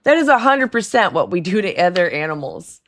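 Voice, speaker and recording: Low raspy hoarse lady hosting her live stream, chatty, laughs a lot, talks to the chat. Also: sing-song, brisk. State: hoarse throat. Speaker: livestream call-in host. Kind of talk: casual livestream chat with callers.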